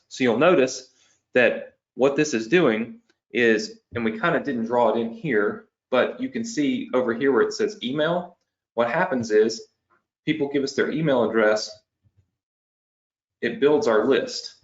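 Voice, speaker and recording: A man's voice: medium pitch, moderate pace, muffled recording. Man losing his voice, coaching. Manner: tag questions after each point, explaining step by step. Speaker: online business coach. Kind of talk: whiteboard training lesson.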